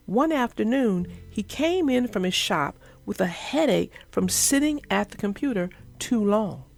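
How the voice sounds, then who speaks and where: Expressive, creaky-voiced woman reading storybook. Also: medium pitch, measured pace, soft background music. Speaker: children's book narrator. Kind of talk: scripted storybook read-aloud.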